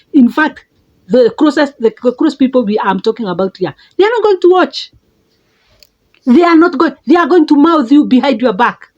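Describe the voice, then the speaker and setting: A middle-aged Kenyan woman with a Kenyan accent, giving an emphatic motivational talk as a life coach, repeating words and leaping up in pitch for stress. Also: darkish tone, highs cut off. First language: a Kenyan mother tongue.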